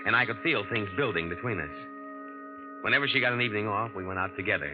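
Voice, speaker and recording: Hard-boiled young male impostor narrating, deep voice, muffled vintage radio with music actor doing it. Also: a little fast, lightly acted. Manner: hard-boiled, cool, low-key. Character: smooth young male con man posing as grandson. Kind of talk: 1940s radio acting.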